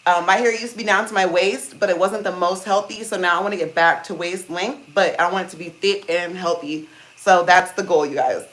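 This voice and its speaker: A woman with a deep voice hosting a casual hair-care livestream, chatting to viewers and calling them "you guys" while she steams her hair. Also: steady tone, a little fast, a bit bright, low-quality recording. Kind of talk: casual live-stream chat reading comments. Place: indoors at home, seated on a small chair. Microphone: phone.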